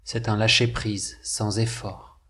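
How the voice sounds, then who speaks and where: Low-pitched male meditation narrator. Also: level tone, fairly bright tone, slightly rough. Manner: measured, deliberate phrasing with pauses. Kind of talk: scripted guided meditation narration.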